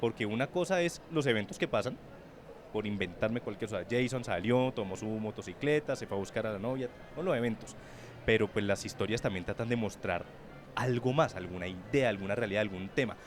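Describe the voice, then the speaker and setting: Male interviewer over noisy street ambience. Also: lowish voice. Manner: deliberate, rephrases questions at length. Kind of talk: conversational interview questions.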